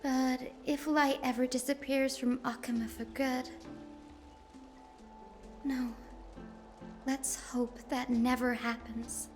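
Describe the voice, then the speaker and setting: A woman playing a gentle, earnest young female healer in a video game, hesitant and caring. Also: high voice, little pitch movement, takes it slowly, long pauses, faint music behind. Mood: worried. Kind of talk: English video game voice acting.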